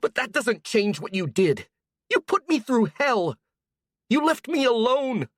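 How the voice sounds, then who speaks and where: A storyteller voicing a hot-tempered young woman who shouts and sneers with sarcasm. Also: fairly high pitch, big pitch swings, measured pace, dry recording, studio quality, pitched above the performer's own voice. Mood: raging.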